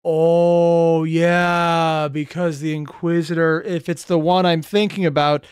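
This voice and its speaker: A man's voice: fairly high pitch, little pitch movement, slow, smooth. A man hosting a reaction video with casual, rambling commentary, full of slang and wry asides to viewers.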